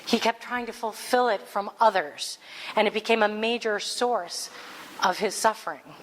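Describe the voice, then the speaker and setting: A woman with a middle-pitched voice delivers an earnest, heartfelt spiritual talk to a gathered congregation. Her words carry long reverb through a large hall. A faint hum of room noise sits underneath. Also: normal speed. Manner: earnest, heartfelt, reads quotes aloud. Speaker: minister giving a talk.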